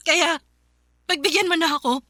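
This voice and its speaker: A woman's voice: very high-pitched, normal speed, pushed and bright, dry recording, studio quality. An actress playing a grieving, pleading young woman in a Filipino radio drama, with a Filipino accent and a tearful delivery. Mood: tearful, resigned.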